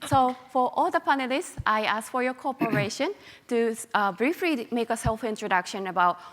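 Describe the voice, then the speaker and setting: A woman's voice: on the high side, animated, normal speed, a bit bright. Polite, hesitant woman moderator. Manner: hesitant, polite, with many fillers. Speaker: panel moderator. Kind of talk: moderating a panel. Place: conference hall. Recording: long hall reverb.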